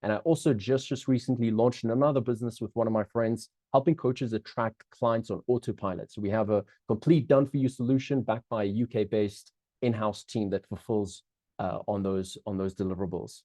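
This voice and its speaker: Mellow-voiced South African man presenting. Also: on the low side, fairly even intonation, brisk, no room sound. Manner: upbeat, chatty, many restarts, keeps checking in with the audience. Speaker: business coach hosting a live webinar. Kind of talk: live online masterclass presentation.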